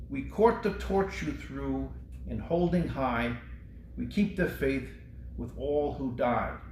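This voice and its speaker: A man reading poetry aloud and briefly introducing it, with a measured, solemn delivery. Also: fairly high pitch, a little mellow. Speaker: librarian reading poems aloud. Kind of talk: poetry recitation with short spoken introductions. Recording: echoey room with faint background noise.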